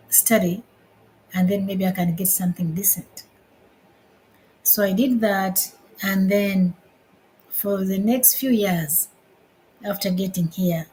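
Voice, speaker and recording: A woman from Uganda in her fifties, hosting a casual live stream from home, unhurried and repeating her phrases as she restarts them. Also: medium pitch, a little mellow, a little hiss or hum, low-quality recording. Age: 50s. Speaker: livestream host.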